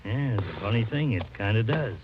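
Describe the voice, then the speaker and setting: A man's voice: on the low side, lively intonation, fairly quick, close to an everyday voice. Casting: male actor for folksy small-town sheriff in radio western, noisy dull vintage sound. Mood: matter-of-fact. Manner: folksy, laconic. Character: easygoing rural Western sheriff, folksy and laconic. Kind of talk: 1950s radio acting.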